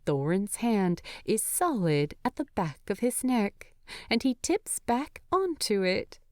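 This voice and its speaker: A woman narrating a story in an expressive, tender, unhurried way. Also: medium pitch, dry recording, studio quality. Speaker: fan fiction narrator.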